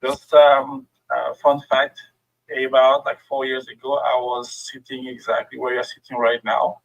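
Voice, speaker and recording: A man's voice: mid-range voice, completely flat delivery, measured pace, gravelly, muffled recording. A middle-aged man with a French accent and French as his first language, giving a friendly workshop presentation over a video call with many fillers. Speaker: guest speaker.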